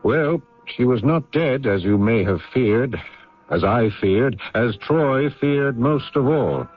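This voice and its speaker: An actor playing a weary, burdened family man in a theatrical radio drama, measured when reflecting and exasperated when arguing. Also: mid-range voice, animated. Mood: somber, reflective. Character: weary, burdened man caring for troubled family, narrating memories. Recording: muffled old radio broadcast, music underneath.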